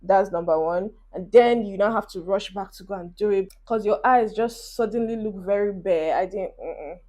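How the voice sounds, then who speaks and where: A woman's voice: mid-range voice, normal speed, warm tone. Chatty young Nigerian woman vlogger. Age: 20s. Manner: chatty, encouraging, talks while doing makeup. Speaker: beauty vlogger. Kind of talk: casual get-ready-with-me chat to camera. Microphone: phone camera.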